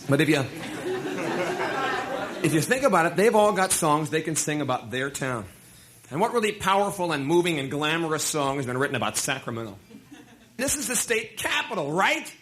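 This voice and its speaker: A man's voice: a little high, very animated, moderate pace, long pauses, fairly bright tone, some chatter behind. A man performing live stand-up comedy in a playful, mock-earnest manner. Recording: live stage recording with music. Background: music.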